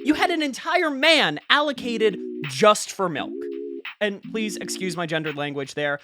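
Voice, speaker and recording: An earnest conspiracy podcast host played by an actor speaks in a mid-range voice with a crackly fry. The delivery is wry and conversational, treating absurd theories with mock seriousness. Intonation moves widely, leaning hard on key words. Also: medium pitch, big pitch swings, a little slow, over music. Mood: wry, confiding. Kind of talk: podcast monologue, conspiratorial rant.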